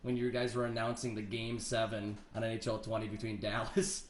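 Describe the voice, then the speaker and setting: A man's voice: on the low side, completely flat delivery, fairly quick. A man co-hosting a casual sports chat, recorded with a roomy echo. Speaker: podcast co-host. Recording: roomy echo, faint background noise.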